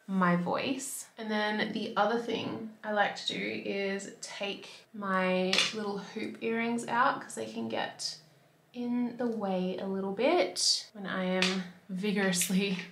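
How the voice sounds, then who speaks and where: Young woman beauty vlogger from Australia, chatty and upbeat, a touch raspy from a cold, bright voice in an echoey room. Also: medium pitch, steady tone, slow, a little hiss or hum. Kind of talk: casual talk to camera. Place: bedroom by a window.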